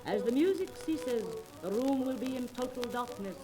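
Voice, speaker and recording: Actress playing a showy high-voiced phony spirit medium. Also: female voice, lively intonation, normal speed, music in the background, lightly acted. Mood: solemn, theatrical. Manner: declamatory, solemnly showy. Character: theatrical fake spirit medium, grandiose woman swindler. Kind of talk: 1930s radio acting. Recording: noisy 1930s radio transcription disc.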